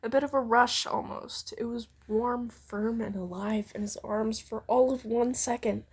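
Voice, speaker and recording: A woman's voice: fairly high pitch, steady tone, unhurried, darkish tone, husky. A woman casually reading a fan fiction story aloud as narrator.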